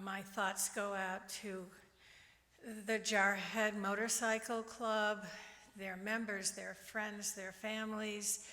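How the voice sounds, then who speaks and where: A woman from northern New Hampshire speaks at a church service in an even, flat voice. Her tone is bright, and she halts often with "um". The sanctuary adds a long reverb. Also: mid-range voice. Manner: halting, many ums. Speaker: congregant.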